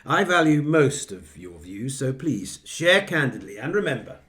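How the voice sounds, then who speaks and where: A man's voice: medium pitch, big pitch swings, unhurried, fairly bright tone. A man playing a jovial, patronising British Prime Minister chairing a meeting in a satirical radio play, with a British accent. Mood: smooth, self-satisfied.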